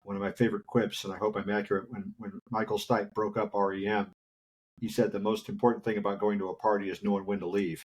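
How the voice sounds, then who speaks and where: A man's voice: lowish voice, flat delivery, fast talker, slightly rough, low-quality recording. A man hosting a casual interview, chatty and chuckling often. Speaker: podcast host.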